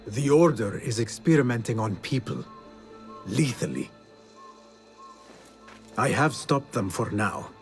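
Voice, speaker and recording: A man's voice: medium pitch, expressive, a little slow, long pauses, faint music behind. A man playing a solemn, determined assassin in a video game, speaking in a grave, restrained manner. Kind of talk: dramatic video game cutscene acting.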